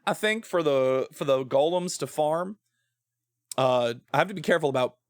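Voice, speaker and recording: A man's voice: a little high, normal speed, smooth, dry recording. Rambling American man streaming, close dry sound. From southern-adjacent United States. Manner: rambling, thinking aloud. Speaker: game streamer. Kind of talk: casual livestream chat while playing a building game.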